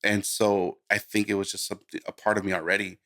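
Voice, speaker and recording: A man from Guatemala who grew up in the United States, giving a casual interview as a music producer, telling his story informally and haltingly. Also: lowish voice, flat delivery, pushed and bright. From born in Guatemala, raised in the United States. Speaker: music producer, podcast guest.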